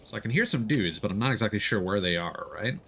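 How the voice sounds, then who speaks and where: A man's voice: fairly low pitch, animated, fast, a bit bright, breathy edge. A man streaming a video game, giving casual live commentary and explaining tactics step by step as he plays. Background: video game sound.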